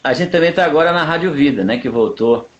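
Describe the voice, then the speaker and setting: Easygoing middle-aged pastor. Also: male voice, on the high side, quick pace, lo-fi sound. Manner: relaxed, easygoing, with short agreeing replies. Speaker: pastor and speaker, guest. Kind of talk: casual live-stream chat. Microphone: video call without headphones.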